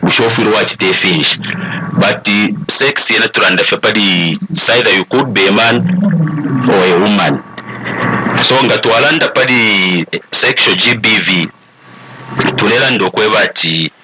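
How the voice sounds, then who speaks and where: A man with a Zambian accent speaking as an expert panelist, explaining deliberately and switching between two languages. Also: fairly low pitch, noisy background. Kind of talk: explanatory talk mixing English and Bemba.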